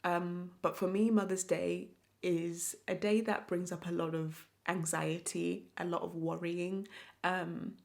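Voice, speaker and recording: A woman's voice: on the low side, little pitch movement, creaky. A young woman, speaking to camera in an earnest, reflective personal vlog. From born in Zimbabwe, raised in South Africa. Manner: reflective, earnest, slow and measured. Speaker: vlogger. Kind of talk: personal confessional talk to camera.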